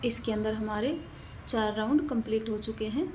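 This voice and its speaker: Higher-voiced woman giving step-by-step instructions. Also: normal speed, a little mellow, a little hiss or hum. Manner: repetitive, instructional, counting aloud. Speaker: craft tutorial instructor. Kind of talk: step-by-step crochet tutorial narration.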